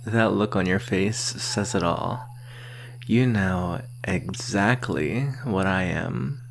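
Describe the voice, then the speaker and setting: A seductive, menacing ancient vampire played by an ASMR roleplayer with a low, gravelly voice that often sinks into a breathy whisper. The drawl is extremely slow and teasing, that of a predator toying with human prey. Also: exaggerated voice. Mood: seductive menace.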